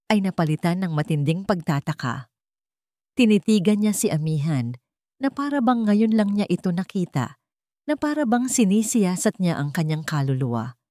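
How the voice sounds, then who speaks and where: Mellow, low-pitched woman narrator. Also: dry recording, clean studio sound. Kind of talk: scripted dramatic story narration.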